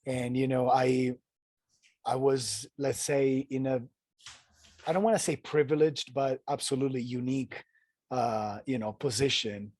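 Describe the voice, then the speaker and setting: A man from Italy with an Italian accent, telling his story as a podcast guest in an earnest, halting way full of restarts. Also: mid-range voice, fairly even intonation, a little mellow, no room sound. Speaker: guest, ultrarunner. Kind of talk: casual interview storytelling.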